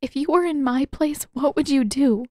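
Woman acting out a pleading, high-voiced teenage girl. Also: normal speed, mellow, dry recording, clean studio sound, close to an everyday voice. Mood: urgent, insistent. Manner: earnest, urgent pleading. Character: tearful, determined teenage girl pleading with her friend.

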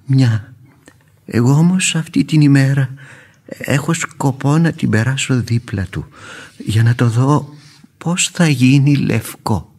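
An actor playing a gentle older uncle who adores roses, speaking poetically and with wonder in radio theatre. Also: male voice, mid-range voice, pauses often, breathy edge. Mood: rapt, lyrical. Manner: wonder-struck, poetic, emphatic. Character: gentle older uncle, rapt rose-loving amateur gardener. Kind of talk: radio theatre acting, lyrical recitation. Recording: radio drama with guitar music behind.

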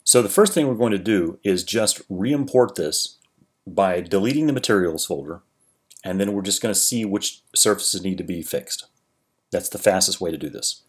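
Low-voiced man explaining a software tutorial. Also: moderate pace. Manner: relaxed, explanatory, with frequent restarts. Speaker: 3D tutorial creator. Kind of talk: screen-recorded software tutorial narration.

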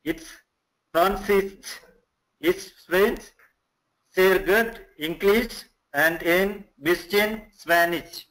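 A man student reading aloud haltingly in an online class, polite and hesitant, over a video call. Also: high-pitched, a bit bright, low-quality recording.

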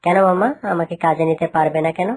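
A woman's voice: lowish voice, little pitch movement, fast talker, darkish tone, lightly acted. A woman voicing a poor, worried male fox labourer in a cartoon, speaking plaintively. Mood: pleading. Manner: plaintive, pleading. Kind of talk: cartoon dialogue.

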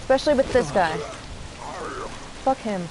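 A woman's voice: on the high side, lively intonation, normal speed. A young woman doing casual live game commentary, profane, playful and sarcastic, talking as a streamer. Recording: noisy, game sound behind voice. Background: video game ambience.

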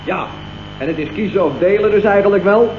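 An actor playing a cocky, sardonic junior colleague in an old radio comedy, full of mocking remarks. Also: male voice, high-pitched, a little fast, highs cut off, close to an everyday voice. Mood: defiant. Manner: dry mocking asides. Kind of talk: 1970s Dutch radio comedy acting. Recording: thin, echoey, noisy old radio recording.

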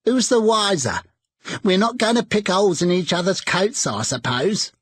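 A man voicing a bold, defiant charwoman with a shrill, scornful manner. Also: expressive, moderate pace, husky, no echo. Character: bold defiant charwoman, brash older woman.

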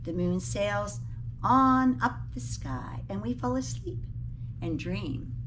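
Slow, lively woman reading a picture book. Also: medium pitch. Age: middle-aged. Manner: slow, deliberate phrasing as if addressing young children. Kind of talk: expressive children's story read-aloud with short asides. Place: indoor classroom beside a large screen. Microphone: camera a few feet away. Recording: clearly noisy room recording, camera mic.

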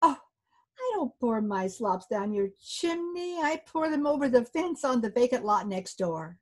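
Lady doing a kind old man from a storybook, highish voice, flustered and worried. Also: lively intonation, unhurried, a little mellow. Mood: puzzled. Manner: worried, protesting mildly. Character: kindly, bewildered old husband in a folktale.

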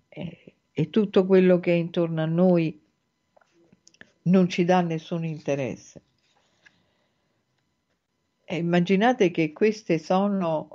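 A woman hosting a spiritual talk, explaining a fairy tale in a thoughtful, measured way with frequent hesitant pauses, in dull lo-fi podcast audio. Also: fairly low pitch, warm tone. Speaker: host and spiritual teacher. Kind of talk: reflective spoken lecture on a fairy tale.

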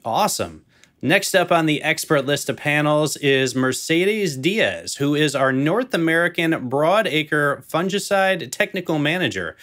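A man's voice: fairly high pitch, expressive, measured pace, fairly bright tone. A man in his thirties hosting a casual farm podcast panel over a video call, upbeat and joking. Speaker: podcast host. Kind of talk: casual hosted panel chat. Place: home office, indoors. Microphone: video call, webcam mic.

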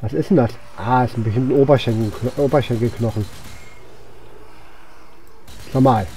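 Dark-voiced man muttering through a video game. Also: mid-range voice, moderate pace, a little hiss or hum, low-quality recording. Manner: rambling, thinking aloud while playing. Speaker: gaming channel host. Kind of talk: casual video game commentary. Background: game music and sound effects.

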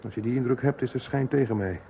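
A cool, understated gentleman played by a man in a radio detective play. He speaks drily with a creaky edge to his voice. The old recording is muffled, with faint noise behind him. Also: mid-range voice, lightly acted. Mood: cool, dry. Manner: measured, dry, understated. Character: reserved, cool, part-British gentleman. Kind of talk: radio detective drama acting.

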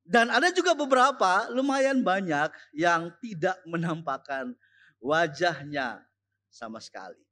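A young man giving a chapel sermon to students, slow and emphatic, repeating key phrases. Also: sits high, expressive, fairly bright tone. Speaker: chapel speaker and teacher. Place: school chapel.

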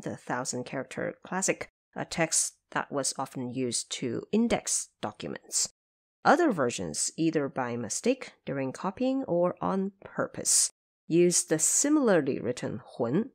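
Female explainer host, deep register, very animated melody, teacherly and precise. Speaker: host and translator. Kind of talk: scripted explanatory narration.

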